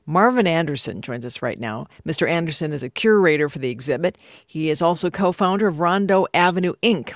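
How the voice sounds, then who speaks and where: A woman's voice: sits low, no room sound, clean studio sound. A woman hosting a broadcast interview, moving between scripted introductions and questions. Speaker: radio host.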